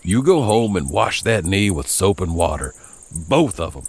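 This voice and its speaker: A man's voice: low voice, very animated, moderate pace, a little mellow, no room sound, exaggerated voice. A man voicing a kindly, sure old American country grandfather in a storybook tale, terse and confiding.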